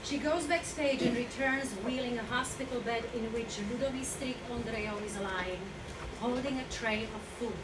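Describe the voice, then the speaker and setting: A woman's voice: fairly high pitch, lightly acted. A woman narrator reading out stage directions as scripted narration at a staged play reading. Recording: roomy, distant mic, very noisy.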